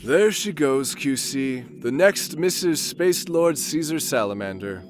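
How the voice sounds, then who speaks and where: A man playing a pompous, bumbling gentleman adventurer in a comedic sci-fi audio drama, with a florid, theatrical way of talking. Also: fairly high pitch, animated, measured pace, over music. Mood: self-assured. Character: pompous, lovesick self-proclaimed gentleman space adventurer, bumbling and boastful.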